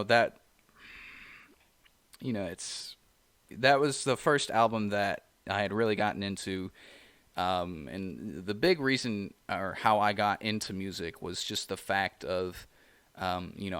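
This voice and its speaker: A man with a low, smooth, resonant voice talks in a loose, rambling way, leaning on "you know" between thoughts. His tone is bright and forward. The sound is dry and close, with no room echo. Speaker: podcast co-host. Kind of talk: casual chat.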